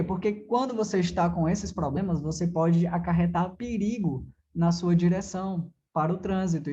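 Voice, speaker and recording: A man's voice: sits high, warm tone, muffled recording. A Brazilian man giving a conversational classroom lecture as a driving theory teacher, didactic, posing questions and answering them himself with frequent check-in tags.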